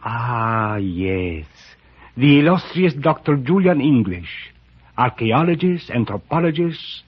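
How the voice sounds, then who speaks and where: Suave, menacing priest, husky and muffled. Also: male voice, mid-range voice. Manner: pompous, formal, deliberate mock-courtesy. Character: suave menacing Indian high priest, courteous and cryptic. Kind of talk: old-time radio drama acting. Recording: muffled vintage radio drama recording.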